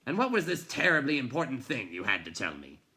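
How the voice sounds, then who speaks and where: Man voicing a loud, gruff, grumbling old bookseller. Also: medium pitch, wildly swooping pitch, fairly quick, voice pushed up, heavily acted. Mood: cross, scolding. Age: older. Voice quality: gruff, growling. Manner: grumbling, blustery, scornful. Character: cross, gruff old bookseller who abides no children.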